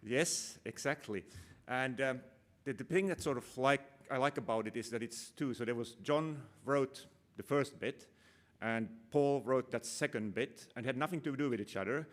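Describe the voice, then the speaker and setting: A man's voice: medium pitch, normal speed. Rambling middle-aged man giving a conference talk. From Finland. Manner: casual, rambling, self-deprecating, many fillers and restarts. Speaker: conference speaker, operations manager. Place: conference room.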